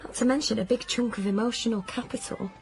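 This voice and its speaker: An actress playing a blunt, sceptical, practical friend, frank and questioning, in naturalistic radio drama. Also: female voice, fairly high pitch, steady tone, quick pace, a little mellow, faint music behind, lightly acted.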